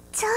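Very high, slow, dreamy lion girl. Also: a little hiss or hum, exaggerated voice. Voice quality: soft, girlish. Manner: drowsy, unhurried, polite, airy. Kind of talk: anime game character lines.

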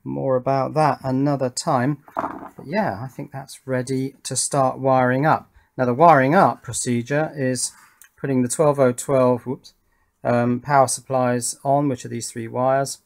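A man's voice: medium pitch, level tone, a little slow, creaky. Man thinking aloud over a hands-on build. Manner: thinking aloud, muttering to himself while working. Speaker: electronics hobbyist host. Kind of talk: casual hands-on build commentary. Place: indoors at a wooden workbench.

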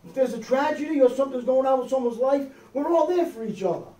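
A man's voice: very high-pitched, fast, warm tone. Man preaching from far in an echoey hall. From United States. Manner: conversational preaching, frequent "okay?" and "amen?" checks. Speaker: pastor. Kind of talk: sermon. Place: small church hall with wood-paneled wall. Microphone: far camera, no visible mic.

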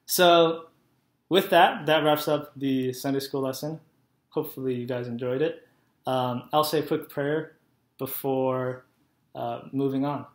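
A man's voice: mid-range voice, steady tone, a little slow. A young man giving a children's sermon from his living room, telling a Bible story simply and often checking in with "right?". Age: young adult. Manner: friendly, simple storytelling, asks "right?" to kids. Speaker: Sunday school teacher. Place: living room at home, indoors. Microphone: laptop webcam, head and shoulders. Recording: echoey room.

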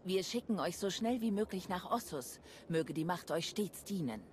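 A woman's voice: lowish voice, lively intonation, moderate pace, darkish tone, slight background noise, natural voice. A woman playing a cool, practical adviser in a video game, speaking formally. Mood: composed. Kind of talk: German video game voice acting.

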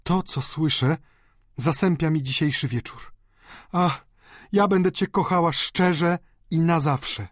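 A man's voice: on the high side, slow pace, dry recording, studio quality, pitched above the performer's own voice. A man voicing an affectionate, emotional young woman, a devoted daughter speaking with gushing tenderness. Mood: wistful, tender.

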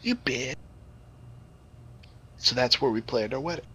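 A man's voice: mid-range voice, animated, measured pace, bright, forceful tone, a little hiss or hum. A man improvising a crude comic story in a rambling, profane, theatrical way.

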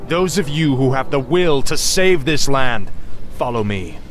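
An actor playing a proud young warrior prince, defiant and imperious, in a video game cutscene. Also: male voice, medium pitch, expressive, a little slow, faint music behind, lightly acted. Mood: imperious anger.

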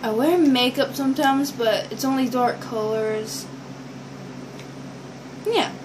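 High-pitched young teenage girl vlogging. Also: takes it slowly. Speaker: vlogger showing toy builds. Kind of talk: casual rambling vlog chat to camera. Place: small bedroom, indoors. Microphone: built-in device mic at arm's length. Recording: noisy amateur webcam audio.

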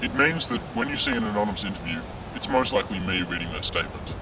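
An actor playing a man whose everyday voice sounds like a disguised voice from an anonymous interview, speaking deadpan and matter-of-fact. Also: lowish voice, brisk, far from the mic, slight background noise, poor audio.

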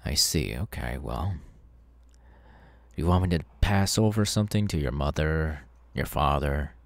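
A very deep, slow-talking masked cult killer, acted by a man, smug and sadistic. He taunts with mocking casualness in an ASMR roleplay, his delivery swinging widely in pitch. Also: expressive, vocal fry, no room sound, heavily acted. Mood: mockingly polite. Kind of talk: ASMR audio roleplay, villain monologue.

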